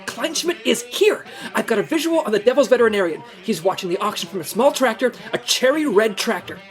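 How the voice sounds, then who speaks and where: An earnest, jittery male agent in a comic radio play. He is fussy and stammers to himself, the pitch jumping about in a very animated way, with faint music underneath. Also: brisk. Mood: frantic. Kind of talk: comedic audio drama acting.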